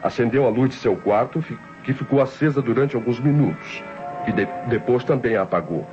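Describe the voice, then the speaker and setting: An actor playing a tense, brooding nephew confessing a planned murder in a suspenseful old Brazilian radio mystery, speaking formally and deliberately. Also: male voice, mid-range voice, natural voice. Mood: tense, stealthy. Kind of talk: 1960s radio mystery drama narration. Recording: dull old radio recording, loud music behind.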